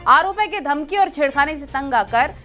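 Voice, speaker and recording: A high, bright-voiced woman reads headlines as a news anchor, crisp and formal. Faint music plays under her. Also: animated, normal speed. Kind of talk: scripted news reading.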